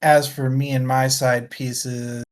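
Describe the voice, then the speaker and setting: A man with a mid-pitched voice hosts a casual book discussion. He speaks at an unhurried, slow pace and rambles along in a jokey, self-deprecating manner. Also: flat delivery. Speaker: book club podcast host. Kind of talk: casual book chat on a livestream.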